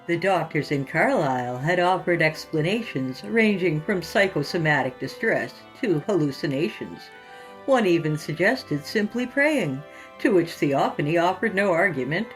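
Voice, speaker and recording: A woman's voice: lowish voice, lively intonation, moderate pace, faint music behind, rough recording. A woman hosting and narrating scripted horror fiction in a theatrical, sinister storytelling manner.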